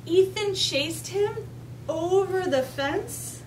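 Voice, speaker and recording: A woman's voice: very high voice, slow pace, darkish tone. A woman leading an interactive read-aloud lesson for young children, using simple instructional phrasing and frequent check-in questions. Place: kindergarten classroom with children. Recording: distant mic, clearly noisy room ambience.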